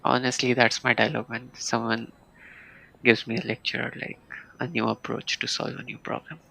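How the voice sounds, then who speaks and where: A man livestreaming a game with casual commentary, talking in halting, muttered fragments. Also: mid-range voice, monotone, gravelly, sharp tone, low-quality recording. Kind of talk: casual live gaming commentary.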